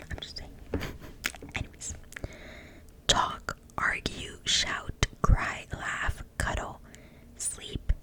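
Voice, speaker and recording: French-accented woman, native French speaker, reading a book aloud in a steady, unvoiced ASMR whisper; trips over words and apologizes. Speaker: ASMR creator.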